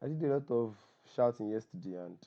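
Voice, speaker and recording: Hoarse Nigerian man, dull call audio. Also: medium pitch, expressive, a little fast. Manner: joking, self-deprecating, repeats himself for emphasis. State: hoarse voice strained from shouting. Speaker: workshop host and copywriter. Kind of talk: informal spoken introduction on a group call. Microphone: online call microphone.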